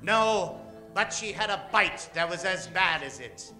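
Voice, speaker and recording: Male radio announcer for a western, high voice, emphatic old-time delivery with stretched-out names, prominent music underneath. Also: expressive, a little slow, sharp tone. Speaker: announcer introducing a radio play cast. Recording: music behind the voice.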